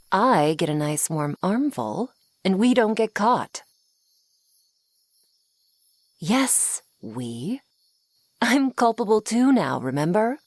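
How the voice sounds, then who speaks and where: A woman's voice: sits low, no echo, exaggerated voice. A woman playing a flirty, sardonic female vampire in an ASMR roleplay, taunting the listener playfully up close. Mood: smug, playful. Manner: sardonic, playful, taunting, with pet names and sighs.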